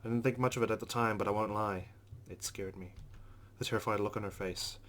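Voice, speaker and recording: A man's voice: on the low side, steady tone, fairly quick, fairly bright tone. A man hosting a let's play, reading visual novel narration aloud and adding casual commentary. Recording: game music behind the voice. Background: game music.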